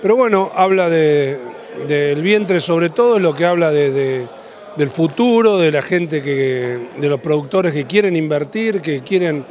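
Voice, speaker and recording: A man in his thirties with an Argentine accent, answering interview questions as a livestock auctioneer, in a halting way that repeats and rephrases. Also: on the high side, a little mellow. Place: large indoor event hall after an auction. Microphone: handheld interview microphone.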